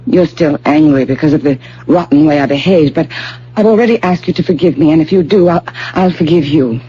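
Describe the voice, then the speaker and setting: A woman's voice: low voice, a little fast, warm tone, close to an everyday voice. An actress playing a headstrong, ambitious young female graduate student in an old-fashioned radio drama, emphatic and self-assured. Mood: pleading, eager. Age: young adult. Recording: dull, hissy old radio broadcast with hum.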